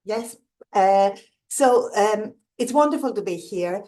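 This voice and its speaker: A woman's voice: mid-range voice, expressive, slow pace, dark tone. A middle-aged woman with a Greek accent, giving an earnest, reflective presentation over slides and often restarting her phrases. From Greece. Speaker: guest speaker, museum director.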